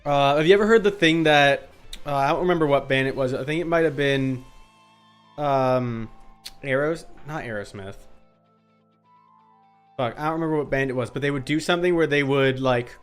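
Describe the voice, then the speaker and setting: A man's voice: mid-range voice, normal speed, long pauses, clean tone. A man chatting casually and jokingly during a game livestream, breaking off from reading lines to banter. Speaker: co-streamer reading game dialogue. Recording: music playing behind the voice.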